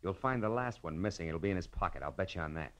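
An actor playing a shrewd, insistent American private detective in a 1950s radio drama, with punchy, clipped delivery. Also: male voice, sits low, lively intonation, fast talker, background noise. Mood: confident.

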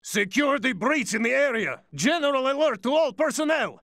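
A man's voice: very high-pitched, expressive, moderate pace, a crowd in the background. A man playing an alert, dutiful enemy soldier in a video game, giving clipped military reports. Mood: alarmed.

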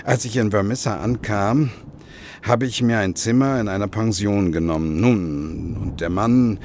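A former detective with a very deep voice, looking back on a dangerous past. He tells it gravely and with measured drama, in the manner of a radio play. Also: darkish tone, a little hiss or hum. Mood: reflective. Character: John Douglas, grave former Pinkerton detective telling his past. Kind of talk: German radio play acting.

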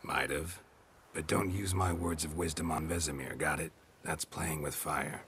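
An actor playing a gruff, stoic monster hunter in a video game, with a dry, terse, deadpan manner. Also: male voice, rumbling low, normal speed, a little hiss or hum. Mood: dry, teasing.

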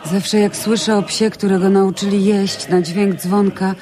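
A woman's voice: mid-range voice, flat delivery, unhurried, mellow, close to an everyday voice. An actress playing a sentimental woman clerk in a radio play. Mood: wistful.

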